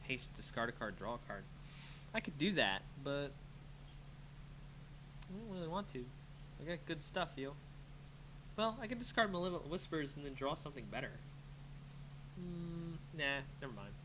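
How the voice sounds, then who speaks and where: A man's voice: fairly high pitch, expressive, moderate pace, pauses often, background noise. A man giving casual live commentary on a game he is playing, talking to himself playfully as he thinks. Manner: muttering to himself, self-talking, playful sing-song filler. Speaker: host of a card game channel, playing.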